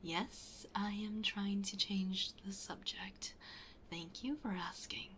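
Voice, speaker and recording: Female performer for shy best friend in ASMR roleplay. Unhurried, bashful, half-whispered, medium pitch, slight ambient noise. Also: steady tone, a bit bright. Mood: teasing, resigned. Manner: hesitant, bashful, often dropping to near-whisper. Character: shy, flustered best friend hiding a crush. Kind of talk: ASMR roleplay, intimate casual conversation.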